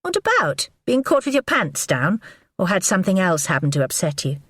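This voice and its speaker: Female reader as biting, impatient woman; lowish voice, dry. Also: very animated, a bit bright, dry recording. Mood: angry. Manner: brisk and biting. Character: sharp, impatient woman confronting her lover. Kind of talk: audiobook character voice.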